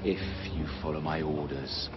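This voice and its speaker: An actor playing a proud, commanding pirate captain in a video game, speaking in measured, theatrical and grandiloquent phrases. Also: male voice, very deep voice, level tone, highs cut off. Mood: cool, commanding. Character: proud, commanding, eloquent male pirate captain, self-styled old wolf. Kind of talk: video game cutscene acting.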